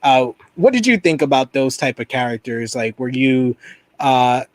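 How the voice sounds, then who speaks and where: A man's voice: medium pitch, normal speed, dry recording. Chatty New York man reviewing a show. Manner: conversational, rambling with fillers. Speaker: podcast co-host. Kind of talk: casual review chat.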